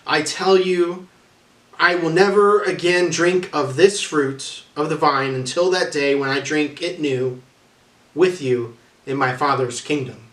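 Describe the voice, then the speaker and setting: A man performs a grave, sorrowful teacher in a church scripture drama. His voice sits a little high and moves deliberately, with solemn emphasis. It is recorded in an echoey room, away from the microphone. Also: fairly even intonation, fairly bright tone. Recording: echoey room, distant mic.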